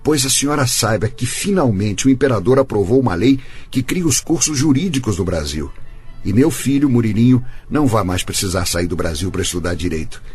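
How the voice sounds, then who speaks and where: A man's voice: fairly low pitch, expressive, fairly quick, a little mellow, slightly rough, faint music behind. An actor playing a genial, long-winded male lawyer, courteous and effusive, in a radio drama. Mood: delighted, effusive.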